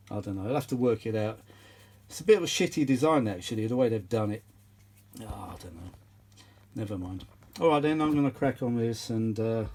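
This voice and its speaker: A man's voice: mid-range voice, mellow. A British man giving casual, unscripted repair commentary in a rambling, grumbling, blunt way. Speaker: host of vintage audio repair channel.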